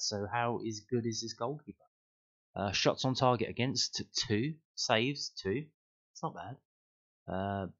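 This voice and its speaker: Low-voiced man musing over stats. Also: lo-fi sound. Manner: thinking aloud, many fillers, wry asides. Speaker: fan channel host. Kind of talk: casual screen-share commentary.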